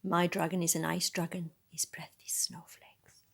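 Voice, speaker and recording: A woman reading a picture book aloud in a soft, breathy voice, adding musing, conversational asides about the illustrations. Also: lowish voice, little pitch movement, normal speed. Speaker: storybook reader.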